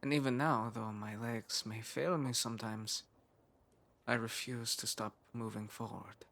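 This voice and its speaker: Male actor as frail, driven young scientist for an intimate ASMR roleplay; earnest, musing, measured, mid pitch. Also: fairly even intonation, vocal fry, a bit bright. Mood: reflective, defiant.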